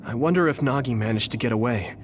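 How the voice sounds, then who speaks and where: A man playing an earnest, kindhearted young hero in an anime game, speaking with gentle concern. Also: medium pitch, fast, lightly acted. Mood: worried. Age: young adult. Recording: clear ambient noise behind dialogue.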